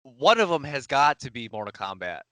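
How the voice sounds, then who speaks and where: A man's voice: mid-range voice, very animated, moderate pace, sharp tone, dry recording. A man chatting casually as a podcast game contestant, in a self-deprecating manner. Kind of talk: casual chat.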